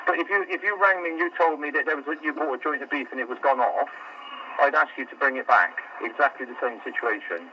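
A man's voice: medium pitch, quick pace, pushed and bright, dull audio, a little hiss or hum. A man working store customer service, answering a call patiently but growing exasperated, stumbling and repeating himself. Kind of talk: phone call.

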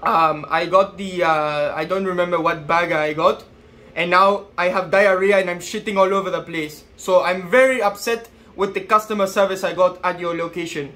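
A man in his twenties making a prank phone call with feigned seriousness, halting and addressing the listener as sir. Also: high voice, fairly even intonation, sharp tone. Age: 20s. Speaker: prank caller. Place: small plain room indoors.

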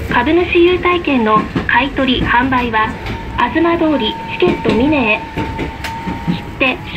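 Woman, high voice, polite scripted tram announcer, careful enunciation, noisy onboard speaker recording. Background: tram running noise.

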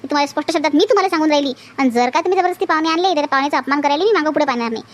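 A woman playing a stubborn, sharp-tongued village wife in a cartoon comedy, scolding defiantly with a Varhadi Marathi accent. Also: high voice, rapid-fire, close to an everyday voice.

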